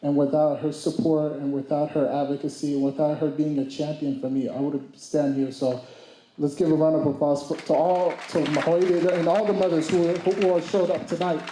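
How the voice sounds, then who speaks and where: A man in his twenties giving a speech at a community summit, halting with restarts and mixing in Somali phrases. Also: on the high side, level tone, mellow, reverberant, slight background noise, poor audio. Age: 20s. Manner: hesitant, many restarts and fillers; mixes Somali phrases into English. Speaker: speaker. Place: event hall.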